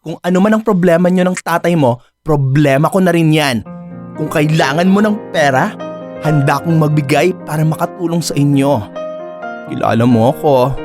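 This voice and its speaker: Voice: medium pitch, normal speed, music in the background, natural voice. A performer in a Filipino radio drama playing a clingy, sweet, teasing and persistent lover who coaxes playfully. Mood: concerned, insistent. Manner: playful, wheedling, coaxing.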